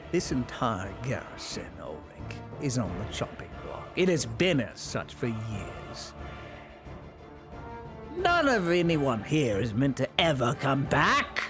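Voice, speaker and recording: A man playing a cold, zealous imperial enforcer in a live roleplay, scornful and commanding, dropping to whispers and rising to shouts. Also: medium pitch, sing-song, slow, music in the background. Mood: menacing. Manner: swings between soft whispers and sudden shouts. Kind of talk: live roleplay in a virtual world.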